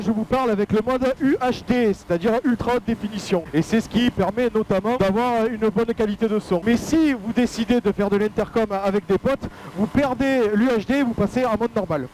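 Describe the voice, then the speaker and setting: High-pitched chatty man on headset, faint motor noise. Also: darkish tone. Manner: chatty, jokey, explanatory. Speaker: paramotor pilot vlogger and presenter. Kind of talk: casual product review spoken while flying. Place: outdoors, flying a paramotor. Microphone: helmet intercom headset microphone with noise reduction. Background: motor noise, muffled.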